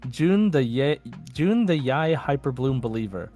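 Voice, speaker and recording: Guy podcast host, medium pitch voice, chatting and reading out listener comments, faint music in back. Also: expressive, a little slow, dark tone. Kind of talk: casual podcast chat, reading out listener messages.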